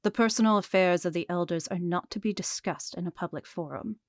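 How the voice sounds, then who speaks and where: A woman's voice: lowish voice, brisk, no echo, studio quality, close to an everyday voice. A woman playing an imperious ancient vampire elder in an audio drama, speaking in a formal, commanding manner. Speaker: actor.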